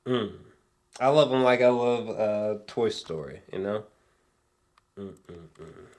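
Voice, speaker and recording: A man's voice: fairly low pitch, slow pace, a little mellow. A man giving a casual, rambling film review with geeky, awed enthusiasm, often hesitating, trailing off and swearing casually.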